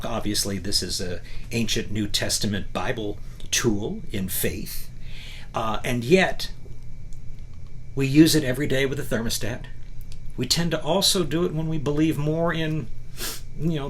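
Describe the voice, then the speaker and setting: A man's voice: medium pitch, a bit bright. A man giving a motivational talk, reading from a book and commenting on it, emphatic and often checking in with rhetorical questions. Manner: rhetorical questions and frequent "right?" check-ins. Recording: clear background noise.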